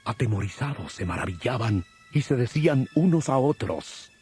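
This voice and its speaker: A man delivers scripted scripture narration in a middle register. He tells the story with solemn, measured phrasing. Faint music plays behind his voice. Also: medium pitch, animated, a little mellow. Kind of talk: scripted narration of dramatized audio Bible.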